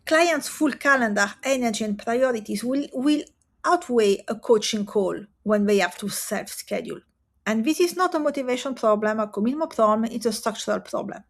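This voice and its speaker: Higher-voiced woman. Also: lively intonation. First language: Italian and French. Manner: earnest, emphatic, with rhetorical pauses. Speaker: business coach for coaches. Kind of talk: direct-to-camera talk.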